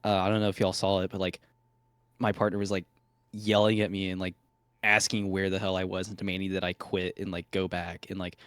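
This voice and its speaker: A man's voice: sits low, level tone, brisk, a bit bright, clear, resonant tone, dry recording, clean studio sound. A young man in a casual call interview, talking hesitantly with many fillers. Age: young adult. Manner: hesitant, filler-heavy speech.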